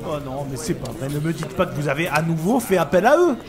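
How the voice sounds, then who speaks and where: Man playing a high-voiced, exasperated musician. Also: big pitch swings, fast talker, crowd noise. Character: exasperated band musician feeling put upon. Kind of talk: comedic audio drama acting. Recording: music behind the voice.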